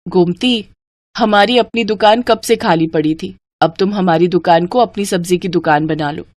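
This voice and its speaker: A woman voicing a young pregnant wife in an animated story, speaking politely and gently. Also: fairly low pitch, moderate pace, no room sound, natural voice. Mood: grateful.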